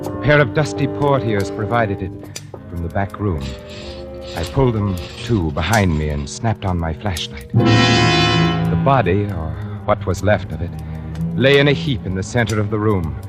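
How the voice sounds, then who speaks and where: A man playing a refined, condescending painter with a dark secret, in vintage radio suspense style. His delivery is deliberate and theatrical, with prominent music behind it on an old broadcast recording. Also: lowish voice, close to an everyday voice. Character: cultured, scheming male painter covering up a murder. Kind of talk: 1940s radio drama acting, first-person suspense narration.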